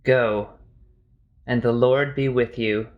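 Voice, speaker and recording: A man playing a grave older king in a dramatized scripture reading, measured and authoritative. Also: mid-range voice, steady tone, muffled recording, natural voice. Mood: grave, questioning.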